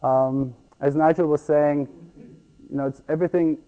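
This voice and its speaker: A man from Ecuador giving a conference talk as a farmer, halting with many ums and restarts. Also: fairly high pitch, fairly even intonation, phone-quality sound.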